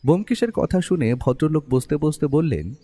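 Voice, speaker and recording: An actor playing the detective's observant friend, narrating a mystery story in a husky voice with long reverb. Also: male voice, on the high side, lively intonation, brisk, mellow. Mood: reflective. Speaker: first-person narrator. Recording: long reverberant hall-like echo.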